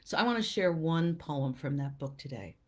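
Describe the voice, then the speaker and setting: A woman's voice: sits low, lively intonation, normal speed, darkish tone. A woman who runs a small press, talking casually about a book and then reading a poem aloud, lingering over its lines. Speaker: poet and small press publisher, show host. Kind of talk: casual talk and poetry reading.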